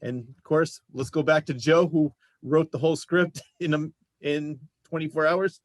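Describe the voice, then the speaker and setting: A man's voice: on the high side, fairly even intonation, moderate pace, dry recording. A man hosting a casual interview, talking in an encouraging, complimentary way. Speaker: show host, interviewer.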